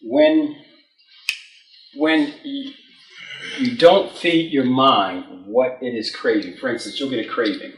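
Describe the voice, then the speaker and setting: A man leading a study class who asks for questions and explains the reading in a halting way, repeating words. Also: medium pitch, expressive. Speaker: lecture leader. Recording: echoey room, mic far off.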